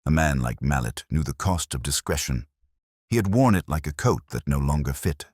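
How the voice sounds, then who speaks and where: A man narrating a first-person detective story in a measured, literary, brooding manner with a husky voice. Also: a bass voice, animated, a little mellow, no echo, studio quality.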